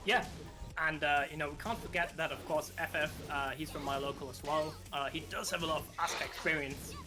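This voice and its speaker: Man, esports co-commentator, slightly high voice, analytical, game music clearly behind. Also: steady tone. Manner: analytical, measured, many fillers. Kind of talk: live fighting-game analysis.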